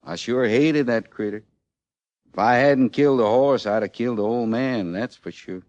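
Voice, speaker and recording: An actor in old-time radio western style playing a condemned American outlaw in his thirties, with a rustic, halting Western drawl and a rueful, plainspoken manner. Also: medium pitch, darkish tone, dry recording, highs cut off, lightly acted. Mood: bitter. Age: 30s. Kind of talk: mid-century American radio western acting.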